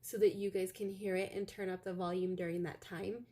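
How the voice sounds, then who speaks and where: Young woman crafter rambling to her viewers, loud voice, lots of ums and likes, chatting from her home office. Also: mid-range voice, level tone, fairly quick. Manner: chatty, meandering, lots of fillers. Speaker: craft vlogger. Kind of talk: rambling casual chat to viewers.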